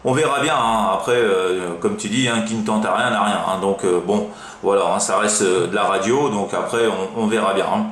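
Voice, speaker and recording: A man's voice: lowish voice, fairly even intonation, normal speed, fairly bright tone. A man from France chatting casually as a CB radio operator, in a hesitant, rambling way full of verbal tags. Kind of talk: casual amateur radio chat. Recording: roomy echo, faint background noise.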